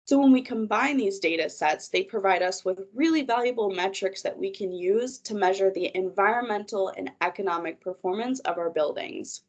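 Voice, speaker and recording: A woman's voice: mid-range voice, moderate pace, hardly any pauses. Congested woman presenting a webinar. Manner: organized, guiding listeners through slides. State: congested from a cold. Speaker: project manager presenting a webinar. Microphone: video call.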